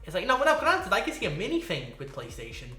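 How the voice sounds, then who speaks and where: A man chatting casually as a podcast co-host, excitable, rambling and sweary. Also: high voice, fast, soft background music. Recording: echoey room.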